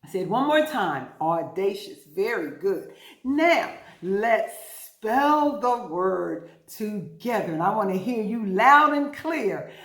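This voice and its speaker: Lady doing kids storytime, super expressive up and down, emphatic teacher delivery, echoey room. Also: medium pitch, vocal fry. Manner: emphatic, deliberate teacherly delivery with repetition and questions to listeners. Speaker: storytime host and teacher for young children. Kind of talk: interactive children's read-aloud and vocabulary lesson. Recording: roomy, echoing indoor recording.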